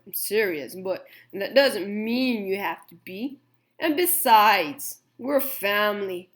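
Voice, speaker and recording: Lady doing grumpy serious farmer guy, stern and slow. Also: medium pitch, fairly bright tone. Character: grumpy serious middle-aged male farmer.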